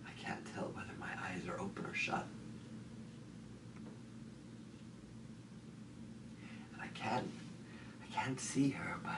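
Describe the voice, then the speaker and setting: A male actor plays a haunted, grieving man in a theatrical monologue. His low voice often sinks toward a whisper, halting and intense as phrases repeat. It is recorded at a distance from the microphone in a room. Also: natural voice. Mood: hushed, despairing. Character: grieving haunted man tormented by dreams of lost woman. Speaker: stage actor.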